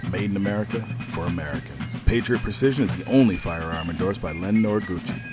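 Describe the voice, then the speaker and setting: A man acts as a bold, patriotic announcer in a radio play commercial. He gives a scripted read in a very low voice over loud music. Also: quick pace, close to an everyday voice. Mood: bold, proud.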